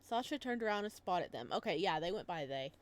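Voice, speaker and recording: A woman hosting a gaming video, reading a visual novel aloud and adding casual, animated commentary. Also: on the low side, brisk.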